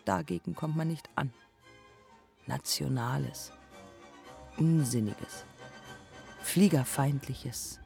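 Radio drama: embittered ghostly woman pilot, terse poetic fragments, very unhurried, fry in the voice. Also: deep voice, a little mellow, soft background music, heavily acted. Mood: bitter, reflective. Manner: measured, terse, fragmented phrasing with deliberate pauses. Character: proud, embittered dead pioneer woman aviator, haunted by rejection. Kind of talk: poetic German radio play acting.

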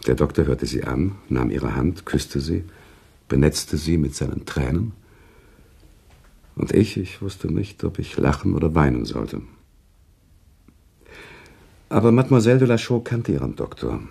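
An expressive, deep-voiced man narrating. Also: measured pace, vocal fry, pauses often, slightly rough, exaggerated voice. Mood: moved. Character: male author narrator recounting a sad love story, earnest and sentimental. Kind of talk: radio play storytelling in dialogue with a listener.

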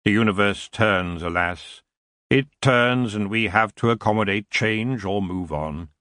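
A man voicing an ancient antlered elf king, smooth and unhurried, lazily polite with a menacing edge. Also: lowish voice, fairly bright tone, dry recording, studio quality. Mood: languid. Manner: lazy, drawn-out, coolly polite. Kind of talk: audiobook character voice.

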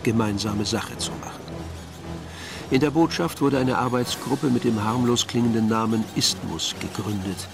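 A man narrating a radio drama as a dry, composed storyteller, in a measured, literary manner. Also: lowish voice, natural voice.

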